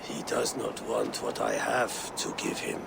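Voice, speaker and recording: An actor playing an aging, ailing Viking jarl in a video game, a proud father and clan leader with a gravelly, breathy voice. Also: fairly low pitch, level tone, unhurried, in a whisper. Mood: weary, confiding. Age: older. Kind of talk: dramatic video game acting.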